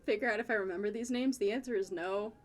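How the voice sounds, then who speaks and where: A woman's voice: medium pitch, fast talker. A woman streamer giving casual, sarcastic, sweary commentary while playing a game.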